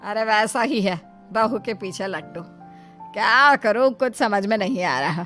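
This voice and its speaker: A woman voicing a jealous older mother-in-law in a cartoon story, with drawn-out, whiny complaining. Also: mid-range voice, fairly bright tone, faint music behind, natural voice. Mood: scolding. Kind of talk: Hindi cartoon story voice acting.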